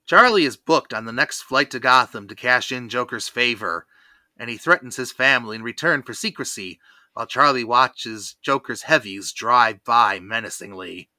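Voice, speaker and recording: A podcast host telling a cartoon episode recap in a lively, theatrical storytelling manner. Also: medium pitch, moderate pace, dry recording.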